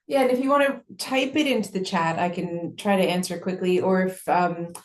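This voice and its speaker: A woman's voice: fairly low pitch, moderate pace, echoey room. A woman co-presenting an information session, casual and encouraging as she invites questions. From North America.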